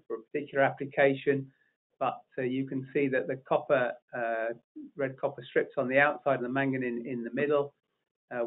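Middle-pitched man, halting webinar presenter, tinny phone-line sound. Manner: halting, many fillers and restarts. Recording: narrow phone-like audio.

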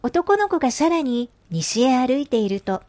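A woman's voice: high-pitched, sing-song, moderate pace, a little mellow. A woman giving scripted narration for an educational storybook, with music behind her. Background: background music.

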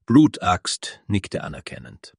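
A man's voice: takes it slowly, darkish tone, no echo, studio quality. A man narrating a scripted fantasy story.

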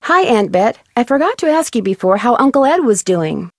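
A young female detective from a video game, with a fairly high voice. She is polite, inquisitive and concerned, recorded dry and close. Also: sing-song, normal speed, dry recording. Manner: courteous, inquisitive. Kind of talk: video game voice acting, phone conversation.

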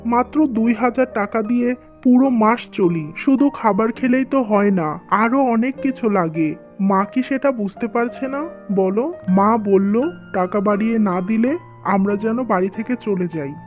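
A woman's voice: a little high, measured pace, darkish tone, soft background music, close to an everyday voice. A woman voicing an earnest, worried young husband and dutiful son in a scripted cartoon, speaking plainly.

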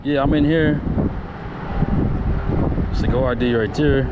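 A man's voice: medium pitch, fairly even intonation, measured pace, very noisy. A man on a fishing pier, talking casually with easygoing, agreeable short replies. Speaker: angler filming his fishing trip. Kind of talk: casual chat. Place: outdoors on a fishing pier by the water.

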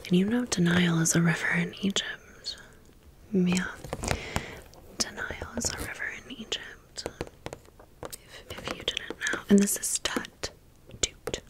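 A woman doing a chatty, rambling ASMR storytime in a breathy whisper with some creak and a wry manner. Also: fairly low pitch, slow pace, long pauses. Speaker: ASMR host.

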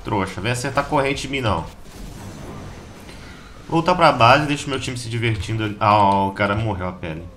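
A slightly hoarse Brazilian man giving a casual, slangy gameplay guide as host of a gaming channel. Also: lowish voice, a little fast, pauses often, a bit bright. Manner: slangy, informal, chatty. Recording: keyboard clatter and background noise.

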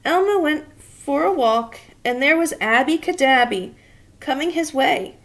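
A woman's voice: high-pitched, lively intonation, measured pace, fairly bright tone. A woman in her thirties reading a children's story aloud expressively and asking the young listeners questions. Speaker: children's read-aloud reader. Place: small indoor room.

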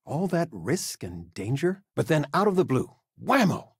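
An older man playing a warm, fussing retired-teacher father in a video game, with a hesitant, folksy, expressive manner. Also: fairly high pitch, normal speed, slightly rough, no room sound, clean studio sound, heavily acted. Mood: animated. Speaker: voice actor. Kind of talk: video game character dialogue.

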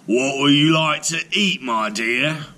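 A man voicing an enormous, gruff, gloating male frost giant in a storybook, with drawn-out, growling delivery. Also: fairly high pitch, pushed and bright, voice pushed up. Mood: fond.

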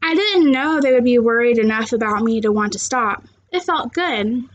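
A young woman with a high-pitched voice reads a children's novel aloud. She sounds like a teacher addressing a young class, using plain words and speaking straight to her listeners. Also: lively intonation, moderate pace, dull audio. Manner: simple, direct address with questions to young listeners. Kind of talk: read-aloud of a children's novel with chat to viewers. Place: small living room, seated on a couch indoors.

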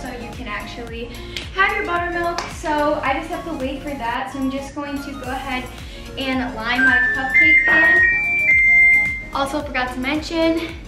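A teenage girl chatting casually as she walks through a baking tutorial in her home kitchen, explaining each step as she works. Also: high voice. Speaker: baking vlogger. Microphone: far camera on the counter. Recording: roomy kitchen echo, distant mic, music behind.

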